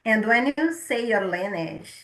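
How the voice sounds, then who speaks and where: Slow, bright-voiced woman host. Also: fairly high pitch, expressive, reverberant, low-quality recording. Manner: gentle, unhurried, occasional stumbles. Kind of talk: slow spiritual show hosting.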